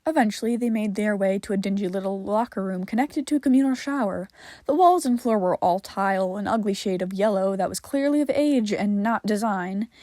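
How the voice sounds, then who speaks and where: A woman's voice: medium pitch, a little fast, mellow, no echo, studio quality. A woman reading scripted fiction aloud as a narrator.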